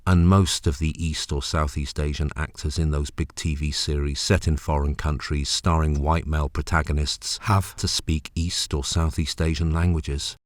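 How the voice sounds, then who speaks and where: A man's voice: very deep voice, level tone, moderate pace, hardly any pauses. A man narrating a personal documentary script in a wry, rhythmic way. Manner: wry, rhythmic, repetitive phrasing. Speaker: presenter, narrator, actor.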